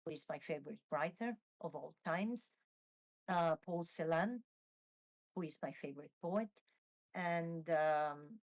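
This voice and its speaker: An Italian-accented woman, Italian by mother tongue, speaking slowly and carefully in English. Her voice sits somewhat low, and she pauses often with fillers and restarts as she gathers her thoughts. She is heard over a video call during a live Q&A. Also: a little mellow, low-quality recording. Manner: hesitant, many fillers and restarts. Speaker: author. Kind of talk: live online Q&A.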